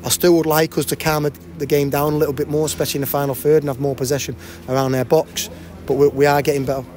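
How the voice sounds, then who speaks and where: A man in his forties, a football manager, giving a post-match interview at a stadium with a handheld mic. He talks fast and often restarts and repeats words. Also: fairly high pitch, little pitch movement, a little mellow, a little hiss or hum. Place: outdoors by a cafe banner at a stadium.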